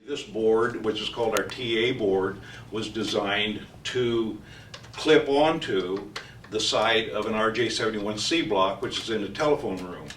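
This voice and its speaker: A man giving an informal technical training explanation as a company trainer, speaking haltingly with frequent hesitations. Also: on the low side, fairly bright tone. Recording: roomy echo, distant mic, faint noise.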